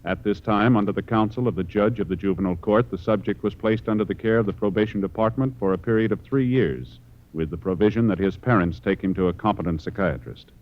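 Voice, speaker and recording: An American man reading scripted narration as a formal, measured 1950s radio announcer. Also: fairly low pitch. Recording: muffled old radio recording. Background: orchestral music.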